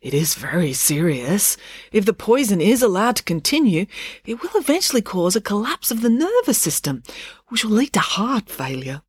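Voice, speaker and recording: A woman voicing an earnest academic father, a careful and hesitant plant expert, explaining in a measured way for an audiobook. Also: medium pitch, big pitch swings, husky, no room sound, studio quality, pitched above the performer's own voice. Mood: grave.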